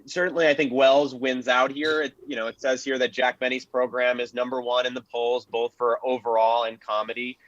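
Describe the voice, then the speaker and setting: A man's voice: mid-range voice, fairly bright tone, dry recording. A man on a panel explaining things casually and analytically, qualifying his points as he goes, on a lo-fi microphone. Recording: dull, dry, lo-fi microphone sound.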